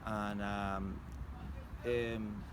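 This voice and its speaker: A man in his forties from Chile with a Chilean Spanish accent, a street artist being interviewed, speaking in a halting, casual way with many fillers and restarts. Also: on the low side, level tone. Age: 40s. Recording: loud street and traffic noise.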